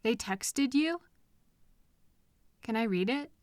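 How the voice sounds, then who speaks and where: A caring girlfriend acted by a woman speaks slowly and tenderly to her upset partner in a comfort audio roleplay. Her voice sits fairly high, expressive and intimate, very close and dry on the mic. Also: no echo. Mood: concerned, puzzled. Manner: tender, expressive, with soft pauses and questions. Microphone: close microphone in a dry room.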